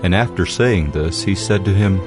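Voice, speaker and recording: A man narrating scripture from a script in a measured, solemn way. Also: sits low, music in the background. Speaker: Bible narrator.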